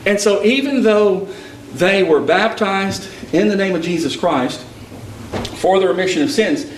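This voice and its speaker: A man's voice: high-pitched, measured pace, slight background noise. A man from Texas preaching a sermon and telling stories from his travels, with an emphatic, rising cadence. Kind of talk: sermon with personal storytelling. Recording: echoey hall picked up from a distance.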